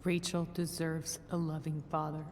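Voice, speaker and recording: A woman playing a broken, guarded mother in a game drama, speaking in a weary, deliberate way with bitter resignation. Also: sits low, little pitch movement, a little mellow, big-room sound, a little hiss or hum, lightly acted. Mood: firm, pleading. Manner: weary, deliberate, clipped phrases. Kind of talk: naturalistic dramatic game acting.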